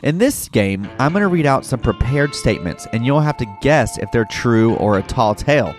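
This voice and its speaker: A man's voice: medium pitch, expressive, moderate pace. A man hosting an educational podcast for children, speaking directly and encouragingly to young listeners as he presents facts and games. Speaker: host of a kids' educational podcast. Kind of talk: scripted narration and game hosting for children. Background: background music.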